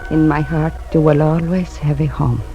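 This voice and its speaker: A woman's voice: deep voice, flat delivery, close to an everyday voice. A woman playing a tender, worn old mother with a Spanish accent, speaking formally in a radio drama. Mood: solemn, grateful. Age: older. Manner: solemn, formal, halting. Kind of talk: 1950s radio drama acting. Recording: muffled old radio broadcast, music underneath.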